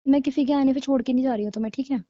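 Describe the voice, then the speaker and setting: A woman acting a cold, high-voiced young girlfriend. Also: fairly even intonation, rapid-fire, dry recording, dull audio, close to an everyday voice. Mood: cold, dismissive. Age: young adult. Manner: blunt, dismissive, argumentative. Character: cold, scornful young girlfriend breaking up with her boyfriend. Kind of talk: naturalistic audio drama of a couple's call.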